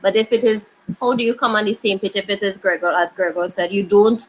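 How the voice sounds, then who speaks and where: A woman's voice: mid-range voice, steady tone, a little fast, slight background noise, low-quality recording. A woman on a judging panel, earnestly explaining her point step by step. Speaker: panelist and judge. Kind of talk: panel discussion.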